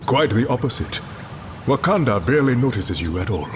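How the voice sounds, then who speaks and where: An actor voicing a proud warrior king in a video game, with an African accent and a measured, dignified, formal manner. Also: medium pitch, very animated, noisy background, lightly acted. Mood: proud, firm.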